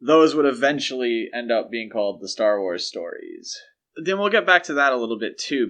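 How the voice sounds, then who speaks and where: A man's voice: medium pitch, fairly bright tone. A man co-hosting a podcast, talking through film franchise history in a conversational, explanatory way. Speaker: podcast co-host. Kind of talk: casual film franchise discussion.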